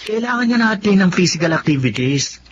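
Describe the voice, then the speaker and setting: A man's voice: high-pitched, big pitch swings, a little fast, dull audio, a little hiss or hum. An actor playing a poor, good-natured teenage boy in a Tagalog radio drama, teasing and brotherly with his best friend. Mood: scolding, brotherly.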